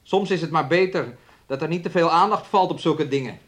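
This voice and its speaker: A man's voice: fairly high pitch, brisk, fairly bright tone. An actor in a Dutch radio play playing a controlling, possessive man who coaxes and needles with repeated questions. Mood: coaxing, controlling. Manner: coaxing, needling, repetitive questions, refers to himself in third person. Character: possessive, controlling man keeping his sick fiancée hidden away.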